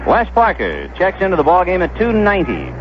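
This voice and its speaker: Male American baseball announcer, lively swooping intonation, conversational storytelling, play-by-play commentary, dull vintage radio sound, faint noise. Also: a little fast. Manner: conversational, descriptive storytelling between pitches. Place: ballpark broadcast booth. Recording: dull, noisy vintage 1970s radio broadcast.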